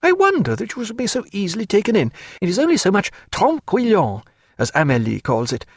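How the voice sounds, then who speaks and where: A man's voice: high-pitched, huge pitch swings, fairly quick, husky, dry recording, clean studio sound, pitched above the performer's own voice, exaggerated voice. A man reading a spirited, proud young woman in an audiobook, fiery and theatrical in manner, swinging from bitter to tender. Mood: mocking.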